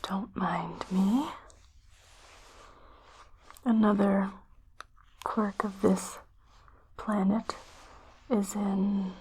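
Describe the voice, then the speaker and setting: A woman playing a calm, reassuring sci-fi doctor in a hushed, soothing whispered ASMR roleplay. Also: medium pitch, a little hiss or hum. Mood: gentle reassurance. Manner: slow, soothing, hushed delivery. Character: calm, reassuring female doctor on an alien-planet expedition.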